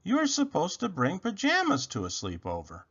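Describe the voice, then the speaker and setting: An excitable little storybook rabbit, acted out by a man, fussy and easily exasperated. The voice is pushed up high and swings expressively from word to word. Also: moderate pace, dull audio, exaggerated voice.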